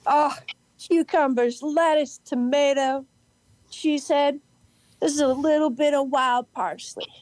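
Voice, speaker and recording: A woman playing an eccentric, cheerful character in an amateur audio play, reading with halting lists and emphatic bursts. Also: high-pitched, fairly even intonation, darkish tone, dry recording. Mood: earnest, proud. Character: eccentric, cheerful woman proud of odd sandwiches and games.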